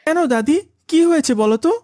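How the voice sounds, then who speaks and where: A woman's voice: high-pitched, quick pace, dark tone, no room sound, studio quality, lightly acted. A woman voicing a proud, pampered young boy in a moral cartoon, with a childlike, self-assured manner. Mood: defiant, boastful. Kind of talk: Islamic moral cartoon dialogue.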